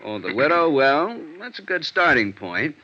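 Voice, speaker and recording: A man's voice: fairly high pitch, very animated, normal speed, sharp tone, a little hiss or hum. An actor playing a dogged, world-weary Mexican-American police sergeant who talks informally about his hunches in a 1950s radio drama. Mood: musing, dogged. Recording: dull vintage radio recording.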